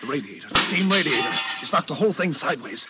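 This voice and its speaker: An actor playing a loyal, excitable young man who exclaims his way through a 1940s radio drama. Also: fairly high pitch, fast, very noisy. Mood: excited. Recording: dull vintage 1940s radio recording.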